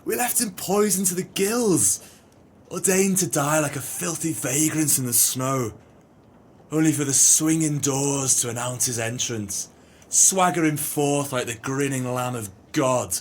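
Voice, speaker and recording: Man acting a scheming bitter conman in a radio play, wordy and theatrical, mid pitch, bit of background ambience. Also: measured pace, slight background noise, natural voice. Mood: despairing outrage. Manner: theatrical, grandiloquent. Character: bitter, scheming male swindler, eloquently exasperated.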